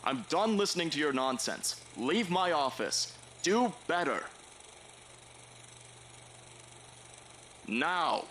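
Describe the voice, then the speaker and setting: A man's voice: on the high side, big pitch swings, unhurried, creaky, noisy background, exaggerated voice. A man playing a stern, scornful headmaster of a magic academy in an audio drama, scolding in a formal, haughty way. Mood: scornful anger.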